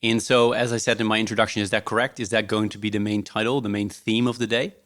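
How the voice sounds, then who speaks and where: A man's voice: lowish voice, little pitch movement, fast talker. A man hosting a podcast interview, talking casually and hesitantly with many fillers. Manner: warm, hesitant, many ums. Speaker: podcast host.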